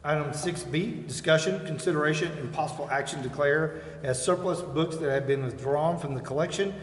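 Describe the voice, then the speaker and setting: A man with a slightly high voice runs a formal meeting as chair, reading out items and calling for votes. He is in a very echoey chamber with some noise behind him. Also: a little fast. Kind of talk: formal procedural meeting talk. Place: indoor council chamber.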